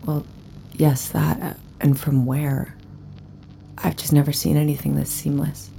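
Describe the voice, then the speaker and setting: A woman's voice: low-pitched, little pitch movement, a little slow, gravelly, warm tone, slight background noise, exaggerated voice. A woman playing a wary, defiant hired escort in an audio drama, frank and wry. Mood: curious, coy.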